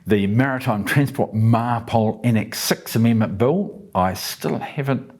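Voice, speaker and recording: A man's voice: mid-range voice, a little slow. A man, casually walking through the day's parliamentary business with jokey asides. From New Zealand. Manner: relaxed, chatty, jokey asides. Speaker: parliamentary speaker hosting a daily update.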